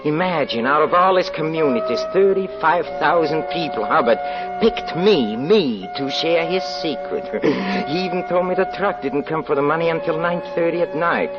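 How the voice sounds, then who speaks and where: A man's voice: fairly high pitch, lively intonation, lightly acted. Man acting a smug criminal parson, dull old radio. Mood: gloating, excited. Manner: theatrical, halting, repeats words. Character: smug, cunning male criminal posing as a saintly parson. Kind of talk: 1940s radio drama acting. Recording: dull 1940s radio recording with music.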